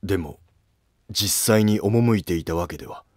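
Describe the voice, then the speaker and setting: Man as deep-voiced, deferential court official. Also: darkish tone, no echo, studio quality. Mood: earnest, cautious. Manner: formal, humble, measured speech. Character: loyal male court official, deferential and earnest. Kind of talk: scripted historical drama dialogue.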